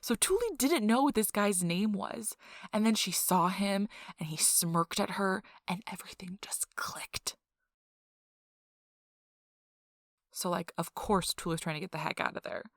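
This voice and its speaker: A woman playing an excitable, nerdy young girlfriend who rambles casually about her tabletop game in an animated ASMR role-play. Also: medium pitch, normal speed, dry recording, clean studio sound, close to an everyday voice. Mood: excited, suspenseful. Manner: animated, breathless emphasis, frequent "like" asides.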